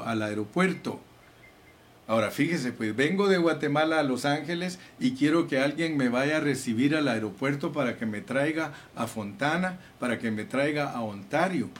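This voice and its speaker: A man preaching a sermon with fervor, calling his listeners brothers and reading scripture aloud. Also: medium pitch. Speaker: pastor.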